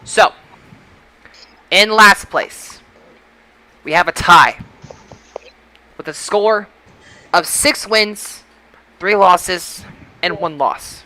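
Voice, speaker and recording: Man, high pitch, bright tone, podcast host, announcer-like delivery, casual results show. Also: very slow, long pauses, no room sound, low-quality recording.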